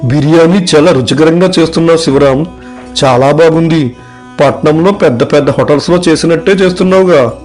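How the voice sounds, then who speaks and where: A man playing a direct, plain-spoken village man in an animated moral story. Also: on the high side, a little fast, soft background music, natural voice. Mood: appreciative. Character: plain-spoken village men, eatery customers and boss.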